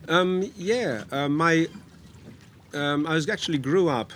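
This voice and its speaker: Relaxed man telling stories. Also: on the high side, takes it slowly, slight background noise. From England, near London. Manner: relaxed, rambling storyteller. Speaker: guitar teacher. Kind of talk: podcast conversation. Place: on a boat in a harbour.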